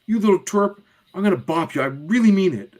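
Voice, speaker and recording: A man voicing a lively, bossy school-age girl from a comic, in an exclamatory manner. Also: very high-pitched, a little slow, husky, pitched above the performer's own voice, exaggerated voice. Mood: annoyed.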